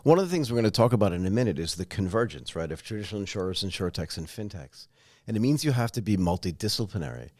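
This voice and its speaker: A man's voice: fairly low pitch, sing-song, quick pace, few pauses, a little mellow, no echo, clean studio sound. A man hosting a corporate podcast interview, upbeat and prompting his guests with questions. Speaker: podcast host.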